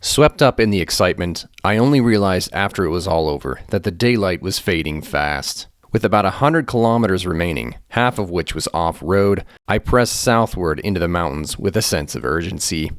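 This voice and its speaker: A man from the United States narrating his travel video in a calm, measured, scripted voice-over. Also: low voice. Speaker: travel vlogger. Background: background music.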